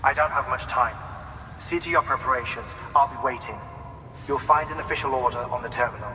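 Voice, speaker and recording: An actor playing a fastidious scientist in a video game, speaking precisely and formally in wordy sentences with deliberate pauses. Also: male voice, medium pitch, sharp tone. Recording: distant, echoey reverberant hall, faint noise.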